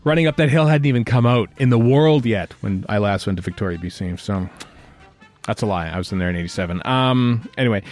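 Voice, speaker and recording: A man's voice: lowish voice, fairly quick, darkish tone, soft background music. A man chatting casually as a podcast host, joking and rambling as he interrupts himself. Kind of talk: casual fan podcast chat.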